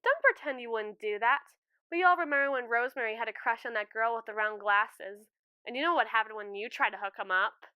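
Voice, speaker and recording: A woman's voice: fairly high pitch, a little fast, smooth, no room sound, dull audio, lightly acted. A woman playing a lovestruck, anxious schoolgirl in an audio roleplay, chattering expressively and dramatically to a friend. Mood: fond, teasing. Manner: expressive, rambling, theatrical teenage chatter. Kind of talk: ASMR roleplay, one-sided conversation with a friend.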